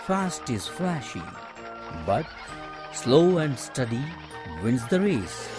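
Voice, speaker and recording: A theatrical, expressive narrator telling a children's animal fable in an animated storytelling style. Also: medium pitch, takes it slowly, over music.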